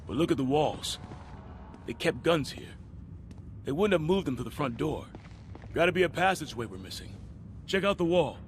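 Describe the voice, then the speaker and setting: An actor playing a determined, gutsy police officer in a video game, with an American accent and brisk, clipped delivery. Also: male voice, on the high side, animated, slight background noise, natural voice. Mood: businesslike. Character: determined, gutsy male police officer, Spider-Man's ally. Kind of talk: video game voice acting, natural dialogue.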